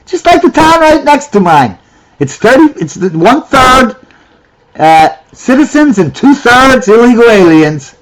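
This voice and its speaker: A man's voice: very high voice, very animated, measured pace, low-quality recording. A man giving reaction commentary in a ranting, sarcastic way, often repeating himself.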